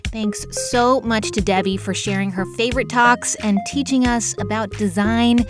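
A woman's voice: a little high, fairly even intonation, normal speed, over music. A woman hosting a radio interview in a conversational style, recorded in a studio. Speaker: interviewer, show host.

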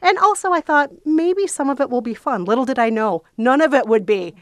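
A woman's voice: high voice, lively intonation, a little fast, no echo, studio quality. A woman podcast co-host chatting casually as an interview guest, frank and earnest. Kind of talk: casual chat.

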